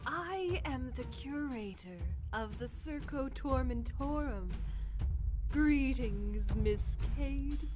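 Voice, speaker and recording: A stately, prim circus curator, who speaks in a high, bright register. Her delivery is slow, precise and formal, like someone used to giving tours. An audio drama character. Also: lively intonation, music in the background. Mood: poised.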